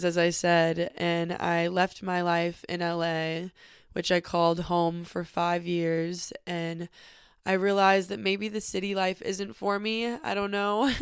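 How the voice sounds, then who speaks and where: A young woman hosts her own podcast from a cabin, thinking out loud in a candid, reflective way and leaning on "like" as she talks. Her voice sits on the low side and sounds crisp and very clear, with a slight sniffle from allergies. The recording is dry and close, with no room sound. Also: dry recording. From Northern California. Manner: open, reflective, frequent "like" fillers. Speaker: podcast host. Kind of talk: casual personal monologue. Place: small cabin in nature.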